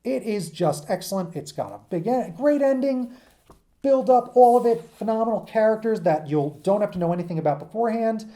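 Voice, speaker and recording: A man's voice: high voice, expressive, fairly quick, warm tone. A man giving an informal lecture to students in an enthusiastic, emphatic, rambling conversational way, as their teacher.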